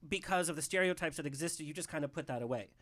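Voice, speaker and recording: Casting: male host, Southern California, bright and high-pitched, chatty, casual interviewer. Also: racing. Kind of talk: casual talk-show interviewing.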